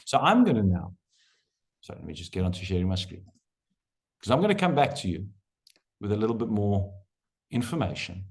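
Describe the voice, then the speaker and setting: A man leads an online workshop as coach, and his voice rises and falls a lot as he drives each point home. He talks over a video call in an emphatic, repetitive lecturing style. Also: medium pitch. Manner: animated, emphatic lecturing with repeated phrases.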